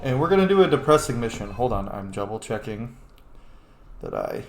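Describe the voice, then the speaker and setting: A man's voice: mid-range voice, sing-song, fairly quick, gravelly. A man hosting a casual video game playthrough, informal and sweary, musing aloud as he plays. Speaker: gaming host. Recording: roomy echo, faint game music. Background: faint game music.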